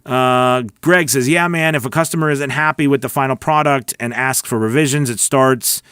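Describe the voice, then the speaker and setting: A man's voice: mid-range voice, fairly even intonation, normal speed, fairly bright tone, clean tone, no echo. Male voice-over coach on a livestream, mid-range voice, dry close sound, chatty and encouraging. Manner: chatty and encouraging, thinks aloud with many restarts. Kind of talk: live Q&A, reading viewer comments and answering.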